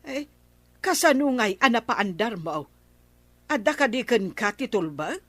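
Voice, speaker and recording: A woman's voice: a little high, very animated, a little slow, a bit bright, no room sound, heavily acted. An older woman with an Ilocano accent playing an inquisitive, scolding village grandmother in a radio comedy drama, drawing out her questions. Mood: suspicious scolding.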